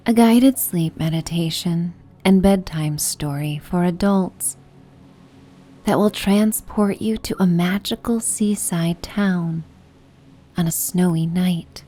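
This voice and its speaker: Slow, soft, breathy woman guiding sleep. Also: fairly low pitch. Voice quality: soft, breathy, half-whispered. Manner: gentle, soothing, unhurried. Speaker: sleep meditation guide and narrator. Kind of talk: soothing guided sleep meditation, ASMR bedtime story. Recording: steady ocean and wind ambience noise. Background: ocean and wind ambience.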